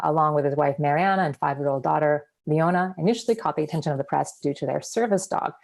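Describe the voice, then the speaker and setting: Low, gravelly-voiced woman academic. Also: a little mellow. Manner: measured, with frequent ums and uhs. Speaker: academic presenter. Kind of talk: reading a conference paper.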